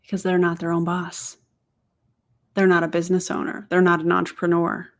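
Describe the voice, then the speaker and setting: A woman's voice: on the low side, completely flat delivery, brisk. A woman in her forties runs a reaction commentary. Her tone is sarcastic and incredulous, and she keeps asking her viewers questions directly. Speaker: commentary host.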